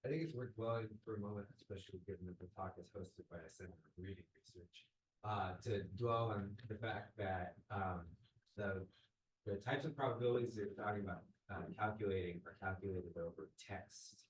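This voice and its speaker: A man making a deliberate, measured comment in an academic discussion, searching for his phrasing. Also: fairly low pitch. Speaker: academic audience member posing a question. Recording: roomy echo with clear background noise.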